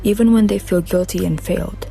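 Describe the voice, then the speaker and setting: A woman's voice: lowish voice, steady tone, brisk, vocal fry, dark tone. A woman reading the narration of a student radio drama carefully, with some slips. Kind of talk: read-aloud narration. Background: music.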